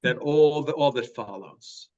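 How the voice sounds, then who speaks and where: A man's voice: mid-range voice, measured pace, mellow. A man in an adult study class asking a question tentatively, searching for his words. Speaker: class participant. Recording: dull sound from far off.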